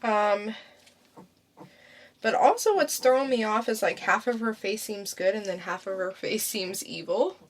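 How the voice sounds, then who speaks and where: A woman's voice: mid-range voice, unhurried. A woman co-hosting a casual podcast who talks in an easygoing, musing way and often laughs. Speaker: podcast co-host.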